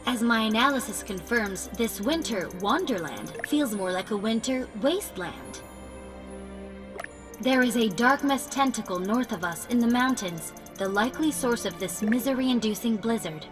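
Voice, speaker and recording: A high-pitched female AI guide from a video game, played by a woman. She is analytical and dryly formal, delivering precise exposition over clearly audible music. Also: expressive, normal speed, lightly acted. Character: analytical, matter-of-fact female artificial intelligence companion.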